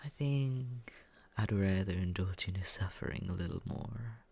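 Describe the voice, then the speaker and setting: A performer voicing a smug, mocking young male roommate in an ASMR roleplay, with a breathy, husky voice that often slips into a whisper and a condescending, taunting drawl. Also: sits low, unhurried, dark tone, heavily acted. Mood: smug teasing. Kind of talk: ASMR roleplay, slow teasing monologue.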